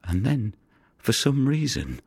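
An actor playing a haunted fisherman in an audio play, telling his story intensely and haltingly in a gravelly, breathy voice. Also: mid-range voice, sing-song, a little mellow, dry recording, full character voice. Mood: tense. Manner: intense, halting storytelling that drops toward whispers. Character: haunted fisherman recounting a rescue at sea. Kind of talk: dramatic monologue in an audio play.

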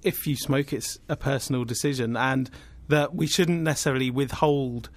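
A man with a raspy voice, a podcast co-host chatting casually and reading out listener comments. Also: mid-range voice, steady tone, moderate pace, dry recording.

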